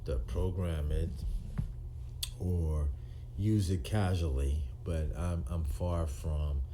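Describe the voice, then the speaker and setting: A man in his sixties, a retired police officer, talking to camera in a halting, firm way with many ums and restarts. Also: sits low, warm tone, a little hiss or hum. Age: 60s. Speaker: retired police officer turned video maker. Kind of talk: unscripted monologue to camera.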